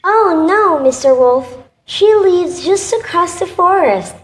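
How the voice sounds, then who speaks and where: Woman playing very high-pitched innocent little girl. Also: expressive, takes it slowly, slight background noise, heavily acted, rough recording. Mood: polite, trusting. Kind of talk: children's radio drama acting.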